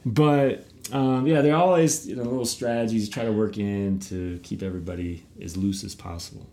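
A man's voice: on the low side, steady tone, moderate pace, warm tone. A middle-aged man, host of a podcast and youth baseball coach, talking casually and animatedly, often repeating words as he starts a thought.

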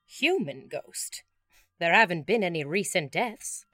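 A woman's voice: on the low side, lively intonation, unhurried, fairly bright tone, dry recording. A woman playing a practical, long-suffering ghost with a wry, skeptical manner in an audio drama. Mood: wry, exasperated. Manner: dry, exasperated, questioning.

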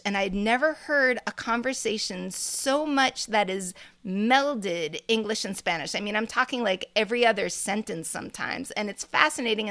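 A woman's voice: mid-range voice, vocal fry, a bit bright. A middle-aged woman co-hosting a podcast, chatting in a meandering way and laughing often. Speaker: podcast co-host. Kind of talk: casual podcast chat.

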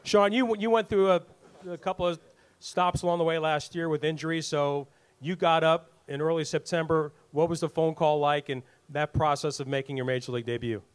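Male panel host, slightly higher voice, chatty and jokey. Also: steady tone, brisk, clean tone. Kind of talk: hosting a fan panel Q&A.

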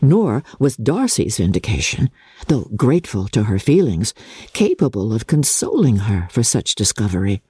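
A woman narrating a classic novel in a measured, formal and expressive dramatic reading. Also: rumbling low, slightly rough, dry recording, clean studio sound. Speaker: audiobook narrator.

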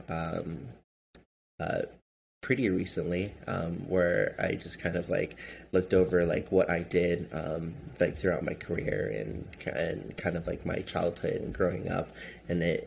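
A man's voice: low-pitched, little pitch movement, unhurried, creaky, a little hiss or hum. A man whose first language is Chinese, answering interview questions casually with many fillers and restarts. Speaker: guest, gun group member.

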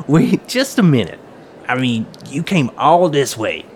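A man's voice: mid-range voice, big pitch swings, slow, a little mellow. A man acting in an audio drama as an eager, chummy metal detector club leader who talks in mock-official radio jargon. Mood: nervous, persuading. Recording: clear background noise.

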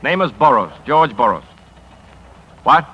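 A man's voice: medium pitch, big pitch swings, unhurried, breathy edge, muffled recording. A man playing a hard-driving, brusque newspaper editor in 1950s radio drama style, clipped and commanding. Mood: urgent, persuasive. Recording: vintage 1950s radio broadcast recording.

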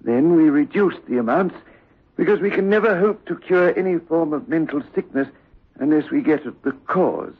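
Stern, husky sanatorium doctor. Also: male voice, a little high, expressive. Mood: firm. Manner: stern, deliberate, commanding. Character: authoritative, insistent sanatorium doctor, firm and probing. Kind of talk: 1960s radio drama acting. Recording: muffled, lo-fi old radio recording.

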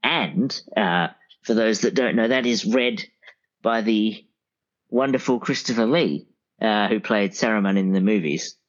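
Deep-voiced, halting man on a dull call. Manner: hesitant, halting, mild. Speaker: guest audiobook reviewer. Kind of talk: casual interview chat. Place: remote call.

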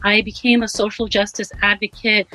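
Bright-voiced young woman, chatty guest. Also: medium pitch, normal speed, rough recording. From Nigeria, raised in Ohio. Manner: relaxed, chatty, laughs easily, many fillers. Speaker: guest, social justice advocate. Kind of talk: casual interview.

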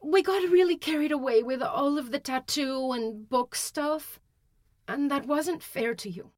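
A woman reading a storybook, voicing a bubbly, excitable teenage girl who talks in a gushing, dramatic way. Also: sits high, a little slow, no echo, clean studio sound, pitched above the performer's own voice. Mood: pleading.

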